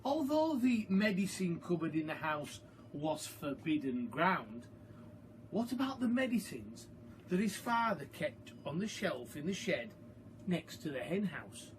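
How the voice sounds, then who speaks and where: A man reading a story aloud to children like a primary school teacher, expressive, deliberate and emphatic. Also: a little high, noisy background. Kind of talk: storytime read-aloud narration.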